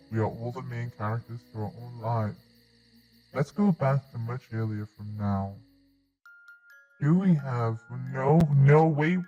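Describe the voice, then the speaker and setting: A man's voice: mid-range voice, warm tone, close to an everyday voice. A man voicing a shy, socially awkward alien creature, speaking hesitantly in an odd voice for a Minecraft roleplay. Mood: earnest, gentle, then awkward, flustered. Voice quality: odd put-on voice. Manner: hesitant, halting, mumbling, timid. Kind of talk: first-person story narration in Minecraft roleplay. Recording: dull lo-fi mic, faint music behind.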